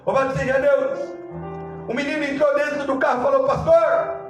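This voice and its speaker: A man's voice: extremely high pitch, flat delivery, brisk, heavy reverb, distant mic, soft background music. A man with a Brazilian accent preaching in a fervent Pentecostal style, telling stories with frequent interjections of praise. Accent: Brazilian Portuguese. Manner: fervent, repetitive praise interjections, acts out dialogue. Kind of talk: Pentecostal sermon with storytelling.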